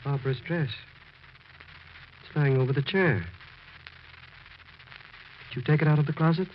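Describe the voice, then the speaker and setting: An actor playing a concerned, stern doctor who reasons earnestly and insistently in a 1940s radio horror drama. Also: male voice, fairly high pitch, normal speed, close to an everyday voice. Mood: probing. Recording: muffled, noisy old radio broadcast.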